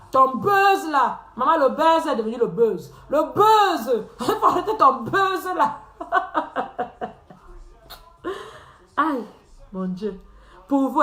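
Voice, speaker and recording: A woman's voice: high-pitched, fairly bright tone. A woman hosting a live stream, teasing her viewers in a mocking rant, laughing often and repeating phrases. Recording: echoey room.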